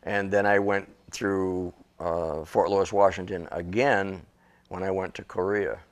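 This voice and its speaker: A man's voice: low voice, steady tone, a little slow. An older man from Western Massachusetts, an author and interview guest, speaking in a plainspoken, matter-of-fact way. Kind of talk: interview guest recounting memories.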